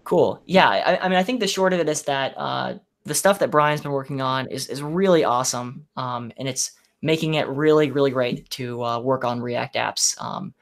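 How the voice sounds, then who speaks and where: Man, gravelly tone, halting filler-heavy delivery, casual tech panel. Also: mid-range voice. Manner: hesitant, many fillers, enthusiastic. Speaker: podcast panelist.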